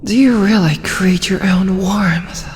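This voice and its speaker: A woman's voice: medium pitch, darkish tone, big-room sound, slight background noise, close to an everyday voice. A woman voicing a possessive, doting tsundere female dragon in an ASMR roleplay, with a tender, coaxing manner. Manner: slow, coaxing, tender delivery with breathy asides.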